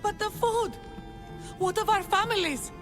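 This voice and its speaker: An actress playing a desperate, starving townswoman in a video game, pleading emotionally and haltingly. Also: very high-pitched, music in the background. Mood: anxious, urgent. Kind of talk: video game dramatic acting.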